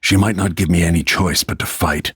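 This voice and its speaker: An actor playing a grim, vengeful middle-aged assassin in a video game, brooding in a gravelly, husky voice with low-key menace. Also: male voice, fairly even intonation, a little fast, fairly bright tone, dry recording, studio quality, exaggerated voice. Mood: brooding, resolute. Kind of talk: dramatic game voice lines, brooding inner monologue.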